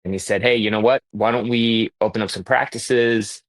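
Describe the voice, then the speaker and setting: A man with a fairly low voice tells his career story in a casual, energetic way, speeding up in bursts and restarting his sentences. The sound is dry and close, with no room around it. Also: normal speed, no room sound. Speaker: dentist and guest.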